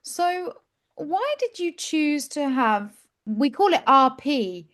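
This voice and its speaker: Encouraging woman interviewer, fairly high voice. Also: very animated, takes it slowly, fairly bright tone. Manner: encouraging, says "wow" often. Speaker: English teacher and interviewer. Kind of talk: friendly interview questions.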